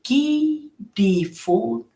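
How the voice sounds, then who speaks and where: A woman's voice: fairly high pitch, lively intonation, extremely slow, echoey room, background noise, voice pushed up, full character voice. A woman voicing an alarmed pleading mother. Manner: pleading, urgent.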